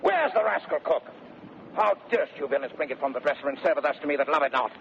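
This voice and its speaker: An actor playing a domineering, blustering Shakespearean husband in 1930s radio style, declaiming theatrically between bellows and smooth mock-sweetness. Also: male voice, on the high side, lively intonation, quick pace. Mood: blustering rage. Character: domineering, blustering Shakespearean husband bullying his servants and bride. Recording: noisy, muffled 1930s radio recording.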